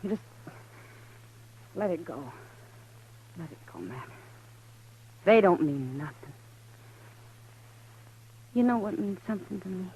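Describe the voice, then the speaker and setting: An actress playing a gentle, warm-hearted saloon keeper woman in a 1950s radio drama, speaking softly and tenderly. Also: lowish voice, expressive, slow, long pauses, slightly rough, exaggerated voice. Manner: soft, quiet and tender. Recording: dull old radio broadcast.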